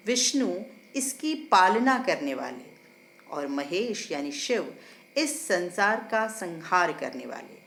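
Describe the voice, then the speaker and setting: A woman voicing a learned older male judge in a story, explaining philosophy in a measured, didactic way with emphatic English phrases. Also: on the low side, vocal fry, heavily acted. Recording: roomy echo, faint background noise.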